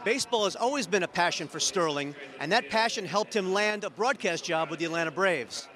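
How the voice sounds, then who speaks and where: A man's voice: high-pitched, a little fast, pushed and bright. A man reading scripted narration for a news feature as a reporter.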